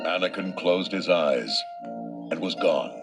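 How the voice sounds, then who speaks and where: Lowish-voiced male storybook narrator. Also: animated, slow pace, music in the background. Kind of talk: scripted dramatic narration.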